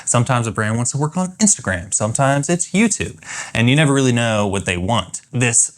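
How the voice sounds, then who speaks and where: A man co-hosting a vlog, explaining things in a relaxed, casual way. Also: mid-range voice, fairly quick. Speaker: content creator, co-host. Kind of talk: casual chat.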